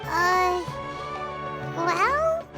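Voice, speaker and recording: A woman voicing a tiny, childlike floating companion creature in a video game, squeaky and earnest. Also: sing-song, very slow, over loud music, full character voice. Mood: surprised, curious.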